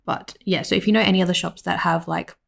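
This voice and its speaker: A woman with an Australian accent, slightly hoarse, giving a rambling voiceover at home full of "like" and "um". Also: on the low side, steady tone, fast. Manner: rambling, with many "like" and "um" fillers. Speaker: planner vlogger. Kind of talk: casual voiceover narration.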